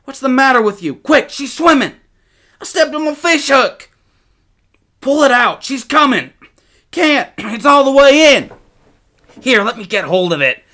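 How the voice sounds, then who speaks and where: A man voicing excitable, bickering young twin boys in storybook dialogue, taunting and exclaiming. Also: sits high, normal speed, pitched above the performer's own voice. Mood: panicked.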